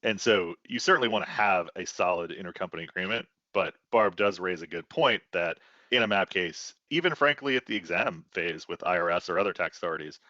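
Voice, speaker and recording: A man with a very low yet bright, firm voice gives expert commentary in a panel conversation. He explains carefully and stresses key words. The audio is close, dry and slightly dull. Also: dry recording.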